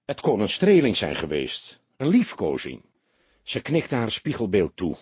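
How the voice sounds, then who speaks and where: A very husky, rough-edged male narrator reads crime fiction. His pitch moves in a lively way as he builds suspense with measured, expressive phrasing. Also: no echo. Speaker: audiobook narrator. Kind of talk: dramatic scripted crime story narration.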